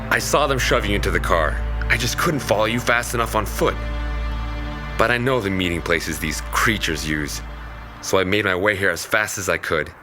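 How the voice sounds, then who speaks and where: A man's voice: on the low side, normal speed, over music, natural voice. An actor playing a stern, protective young man in an audio drama, terse and commanding. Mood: earnest, reassuring.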